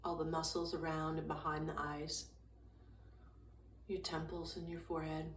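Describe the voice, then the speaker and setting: A woman guiding a meditation in a calm, gentle, unhurried way, leaving long pauses between short phrases. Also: low-pitched. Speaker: meditation guide. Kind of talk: guided meditation, slow soothing instruction. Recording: roomy echo, distant mic, faint noise.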